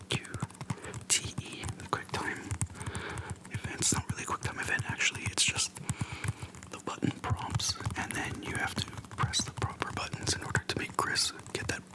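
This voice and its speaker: An ASMR host speaking in a soft, breathy whisper close to the microphone, chatting casually in a relaxed, halting way. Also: poor audio. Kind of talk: casual rambling game retrospective.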